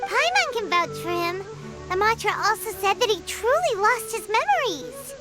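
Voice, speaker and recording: A woman voicing a tiny, excitable fairy companion in a video game, with a very high, squeaky voice. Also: moderate pace. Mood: earnest. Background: game music.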